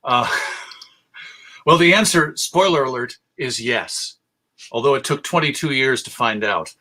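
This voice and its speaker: A man's voice: medium pitch, expressive, unhurried, bright, forceful tone. An American man giving an informal lecture as a presenter, with a conversational, dryly wry manner. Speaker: presenter and radio historian.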